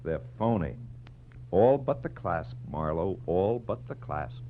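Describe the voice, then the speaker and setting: A man acting a gruff, shrewd homicide lieutenant in a 1940s radio drama, with terse deadpan replies. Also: low voice, takes it slowly, over loud music. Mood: dry, knowing. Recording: muffled, humming 1940s radio recording.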